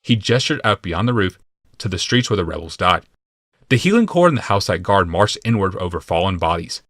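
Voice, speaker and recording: Low-voiced man narrating fantasy. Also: lively intonation, fairly bright tone, no echo, studio quality. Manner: measured, deliberate, dramatic. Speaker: audiobook narrator. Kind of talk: scripted dramatic fantasy narration.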